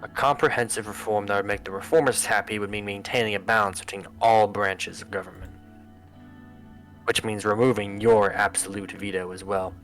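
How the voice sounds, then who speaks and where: A man voicing a formal government adviser who briefs the president in a measured, expository way in a political game. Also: fairly low pitch, steady tone, fairly bright tone, rough recording. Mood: formal briefing. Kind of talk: scripted political game voice-over. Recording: music under the voice. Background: background music.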